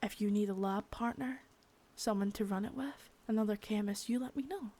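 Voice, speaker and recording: A woman's voice: medium pitch, a little fast, warm tone, natural voice. A young woman playing a threatening, profane second-in-command crime boss in a whispered ASMR roleplay, close to the mic. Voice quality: husky whisper.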